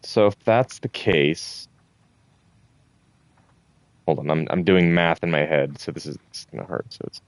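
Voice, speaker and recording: A man's voice: very deep voice, moderate pace, long pauses, no room sound. A man giving casual gameplay commentary, thinking aloud and muttering to himself with the odd swear word. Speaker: gamer recording a playthrough.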